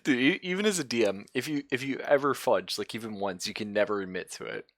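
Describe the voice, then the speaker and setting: A man's voice: medium pitch, lively intonation, fast, fairly bright tone, dry recording, clean studio sound. A man chatting casually with a group as the game master of an online tabletop role-playing game. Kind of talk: casual group chat while running a game.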